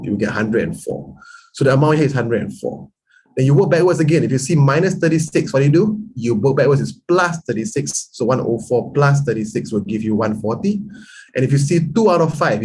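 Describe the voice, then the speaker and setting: A man, teaching a lively online math class and quizzing his students as he goes. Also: mid-range voice, breathy edge. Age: 30s. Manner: lively, chatty teaching with quiz questions to students. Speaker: math tutor. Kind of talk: online lesson.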